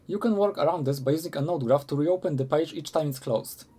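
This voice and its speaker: Male tutorial narrator, slightly high voice, very mellow tone, measured scripted delivery over background music. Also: husky.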